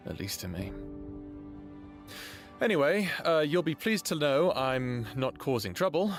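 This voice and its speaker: An earnest, lovestruck human in an audio drama. He speaks very slowly and haltingly, with a tender sincerity. Music plays clearly behind his voice. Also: on the high side, big pitch swings. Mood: earnest, hesitant. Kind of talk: first-person audio drama acting and narration.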